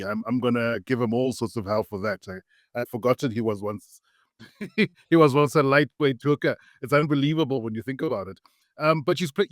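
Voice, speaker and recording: A man hosting a podcast, chatting in a relaxed way and laughing easily. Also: medium pitch, no room sound, studio quality. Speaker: podcast host. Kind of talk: casual podcast chat.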